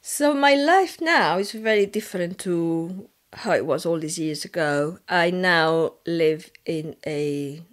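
A woman in her forties with a strong Spanish accent, from the Canary Islands, telling her life story in a relaxed, chatty way with restarts, recorded at home. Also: fairly low pitch, slow, few pauses. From Canary Islands, Spain. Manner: chatty, with frequent restarts and "you know". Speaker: interviewee. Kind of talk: reflective personal storytelling. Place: living room at home, indoors.

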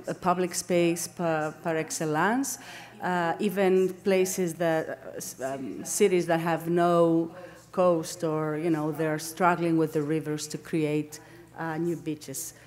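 Low-pitched Greek-accented woman lecturing. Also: a little mellow. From Greece. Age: middle-aged. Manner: halting, searching for words, self-correcting. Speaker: academic lecturer, landscape architect. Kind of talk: academic seminar lecture. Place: indoor lecture room, at a lectern.

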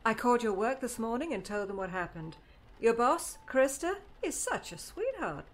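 A woman's voice: fairly high pitch, big pitch swings, normal speed. Fretful, doting middle-aged mother, acted by a woman. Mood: fussing. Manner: fussing, emotional. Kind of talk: audiobook drama acting.

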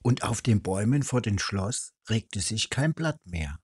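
Husky man reading a fairy tale aloud. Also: lowish voice, expressive, unhurried, darkish tone, no room sound, studio quality. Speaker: storyteller, channel host.

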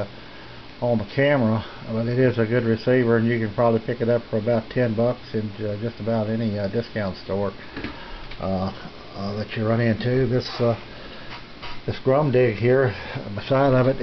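Male hobbyist host, average pitch, rambling and unscripted, hesitant flow. Muffled handheld-camera sound. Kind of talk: casual handheld video tour. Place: small indoor home radio room. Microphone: handheld camera's built-in mic. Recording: muffled camcorder audio with background hiss.

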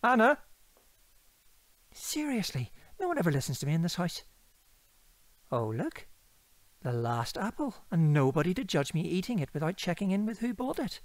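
A woman's voice: fairly low pitch, very animated, normal speed, long pauses. A woman playing a blunt, teasing, sweary young student housemate with a British accent in an audio drama. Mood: grumbling, mocking. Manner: flippant, mocking, blunt.

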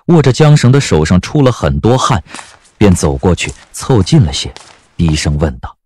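A man's voice: low-pitched, big pitch swings, normal speed, a little mellow. A man narrating a period audio drama as a storyteller, reading scripted prose dramatically.

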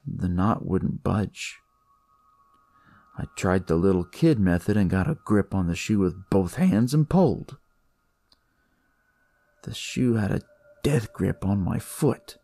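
A man's voice: sits low, slow pace, creaky, long pauses, mellow, no echo, heavily acted. A man voicing a detective who tells his story in the first person, conversational and theatrical, as in a dramatic audio story. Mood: uneasy, wry. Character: male detective recounting a sinister, eerie discovery. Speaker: storyteller narrating in character. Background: music.

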